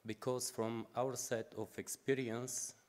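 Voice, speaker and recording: Male conference delegate, 40s, Bulgarian accent, very slow halting delivery, mid-range pitch. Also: level tone, a little mellow, slight background noise. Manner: halting, non-native phrasing. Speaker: audience questioner. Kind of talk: unscripted question at a conference. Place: conference hall, at lectern. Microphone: lectern microphone.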